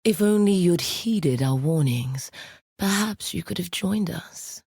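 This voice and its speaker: An evil necromancer lady boss from a video game, slow deliberate delivery, theatrical and menacing, super dry recording. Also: on the low side, animated, warm tone, husky, no echo, exaggerated voice. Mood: cold, taunting. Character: commanding, coldly seductive necromancer villainess, leader of a syndicate.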